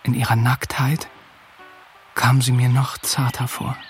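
An actor narrating a radio drama as an observant, tender seventeen-year-old farmhand. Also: male voice, mid-range voice, little pitch movement, measured pace, soft background music, natural voice. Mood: quiet, observant.

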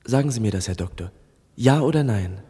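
An actor playing an earnest, insistent youth demanding justice in a German radio drama, with a husky voice and urgent questioning manner. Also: male voice, on the low side, animated, fairly quick, warm tone. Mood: urgent, pleading. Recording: long reverberant hall.

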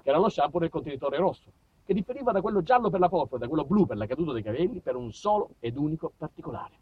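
A man with a southern Italian accent performing a comic monologue, theatrical and mock-pompous, moving between muttered asides and loud outbursts. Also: on the high side, big pitch swings, very fast, slightly rough, no echo, phone-quality sound. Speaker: stage monologist. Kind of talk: comic autobiographical storytelling to a live audience.

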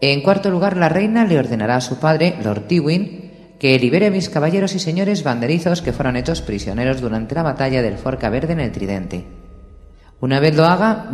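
A man's voice: mid-range voice, darkish tone, close to an everyday voice. A man voicing a firm, commanding young northern king who sets terms and demands oaths, with a roomy, echoing sound. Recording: roomy echo with long reverb.

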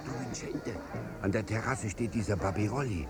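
An actor playing a jittery, touchy ex-convict sidekick in a 1950s German radio drama, excitable and naive in colloquial speech. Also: male voice, lowish voice, normal speed, over loud music, natural voice. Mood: nervous.

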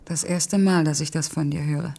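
Husky, headstrong working-class woman. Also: sits low, fairly even intonation, moderate pace, mellow. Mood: stubborn, earnest. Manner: curt, deflecting, dryly self-mocking. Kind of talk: naturalistic German radio play acting.

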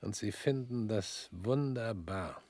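An actor in a radio drama playing a stern male revolutionary who speaks in measured, pointed lines and often drops to a hushed whisper. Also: lowish voice, dry recording. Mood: probing. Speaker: revolutionary visitor.

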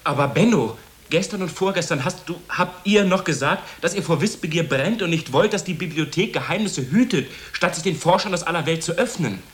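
Higher-pitched, earnest young novice played by a man. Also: lively intonation, fairly quick, natural voice. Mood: indignant, disappointed. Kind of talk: radio drama dialogue.

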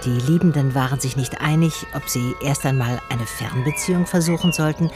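A female detective telling her own story in a radio crime drama. She is dry and understated, stating things plainly. Music plays clearly behind her voice. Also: rumbling low, fairly quick. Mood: dry, reflective. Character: female detective recounting her case, dry and matter-of-fact. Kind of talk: first-person radio drama narration.

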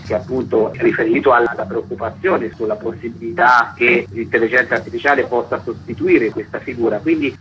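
A man's voice: mid-range voice, sharp tone. A man speaking as a festival curator in a radio interview, explaining in long, linked sentences. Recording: dull lo-fi radio connection, clear noise.

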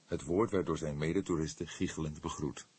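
A man's voice: a bass voice, fairly even intonation, brisk, a little mellow, slightly rough, no echo. A man reading scripted literary narration as an audiobook narrator.

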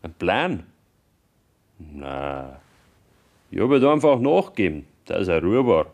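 Grudging young Bavarian farmer's son. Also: male voice, sits low, very animated, slow, vocal fry, a little mellow, heavily acted. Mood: grudging, subdued. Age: young adult. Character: reluctant, reproachful grown son of a farmer. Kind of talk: naturalistic German radio play acting.

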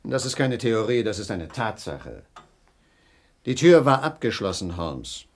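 An actor playing a stubborn, skeptical police inspector in a German radio play, brusque and easily flustered. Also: male voice, on the low side, big pitch swings, measured pace, slight background noise. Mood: dismissive. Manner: brusque, huffy, sometimes stammering.

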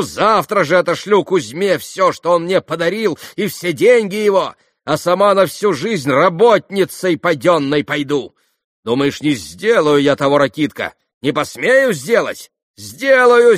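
Storybook role: proud, volatile young woman, played by a man, shrill and high, swinging between spite and anguish. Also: no room sound, clean studio sound, close to an everyday voice.

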